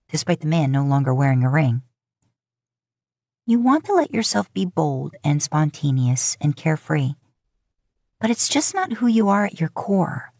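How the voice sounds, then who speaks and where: A woman's voice: sits low, a little mellow, dry recording. A woman narrating scripted fiction as an audiobook reader, recorded close up.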